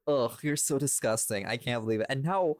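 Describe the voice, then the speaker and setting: A man's voice: mid-range voice, brisk, no room sound. Irreverent man chatting, close dry sound. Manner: irreverent, swears casually. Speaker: podcast host and voice actor. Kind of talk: casual podcast chat, behind the scenes.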